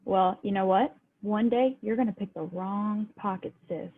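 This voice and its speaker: A woman's voice: medium pitch, normal speed, narrow, tinny audio, lightly acted. A woman playing a guarded, streetwise gangster's employee in an amateur table read over a thin-sounding video call. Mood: scolding. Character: guarded, streetwise female singer working for gangster.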